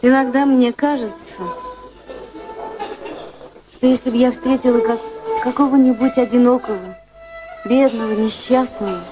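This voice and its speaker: An actress playing a cool, candid and wistful high-society woman in a radio play, composed and dryly sincere. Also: steady tone, moderate pace, long pauses, natural voice, low-quality recording. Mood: wistful, confiding. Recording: music playing behind the voices.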